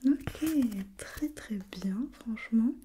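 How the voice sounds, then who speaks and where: A woman speaks in a breathy ASMR whisper, soft and dark in tone, as an examiner in a role play. She guides gently, step by step, repeating short questions. There is faint noise behind her. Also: on the high side, expressive, slow pace. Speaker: examiner in a role-played visual test.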